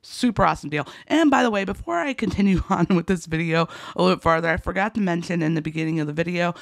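A woman with a rough, gravelly voice hosting a couponing video, chatting excitedly and casually in a folksy way through deals in voice-over. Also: on the low side, fast, no room sound, studio quality. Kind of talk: enthusiastic casual deal rundown voice-over.

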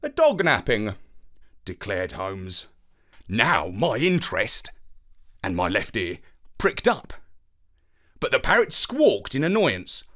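A man reads a scripted bedtime story for children as its first-person narrator. His intonation is animated, moving freely up and down as he tells it. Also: lowish voice, slow pace, pauses often.

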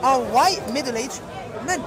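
A man's voice: extremely high pitch, animated, a little slow. A man preaching in an open-air debate, pressing his points with constant "yeah?" tag questions. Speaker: street preacher. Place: outdoor public square with a crowd. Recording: loud crowd noise outdoors. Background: loud crowd chatter.

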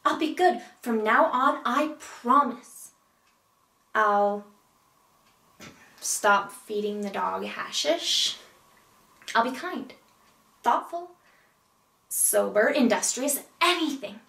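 Woman acting a neurotic single woman, comedic stage monologue, melodramatic, slightly high, unhurried, echoey. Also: animated, vocal fry, sharp tone, heavily acted. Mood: pleading. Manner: melodramatic, deliberate dramatic pauses. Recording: echoey room, distant mic.

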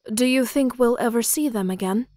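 A woman's voice: on the high side, a little fast, mellow, dry recording, close to an everyday voice. A woman playing a curious, wistful islander in a fantasy audio drama.

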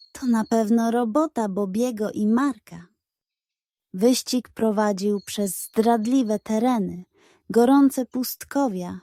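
A woman reads a children's bedtime story expressively. Her pace is slow and her tone is mellow and dark. The sound is dry, with very little room. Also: fairly high pitch, no room sound. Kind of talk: scripted story narration, expressive read-aloud.

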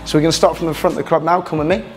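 A man's voice: on the high side, fairly even intonation, very fast, faint music behind. A man in his thirties, a personal trainer, leading a chatty walking tour of a gym with lots of fillers, recorded on a camera mic in large indoor halls. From England. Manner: upbeat, chatty, many fillers. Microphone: camera mic, handheld camera following. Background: fans and building work.

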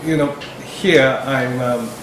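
Slow halting man, echoey room. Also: medium pitch. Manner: halting, with many fillers and restarts. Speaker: medical ethics presenter. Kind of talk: academic discussion in English as a second language. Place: seminar room with a projector screen. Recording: echoey room, background noise.

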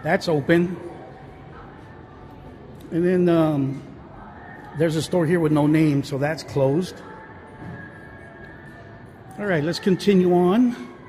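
A man's voice: fairly high pitch, takes it slowly, pauses often, warm tone, faint music behind. A man narrating a casual walking tour of an indoor shopping mall, rambling with many fillers and restarts, recorded on a handheld phone. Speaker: vlogger touring a mall. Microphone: handheld phone camera, speaker off screen.

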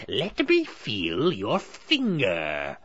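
A man voicing a crafty, greedy old witch who drags out her words and turns shrill and harsh. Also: wildly swooping pitch, fairly bright tone, slightly rough, dry recording, lo-fi sound, full character voice. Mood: menacing. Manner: drawn-out, wheedling then shrill and harsh.

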